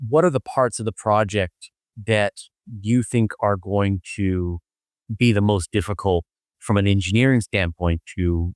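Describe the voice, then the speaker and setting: Smooth, lowish-voiced male interviewer. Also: dry recording, clean studio sound. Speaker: interviewer and host. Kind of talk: conversational science interview questions.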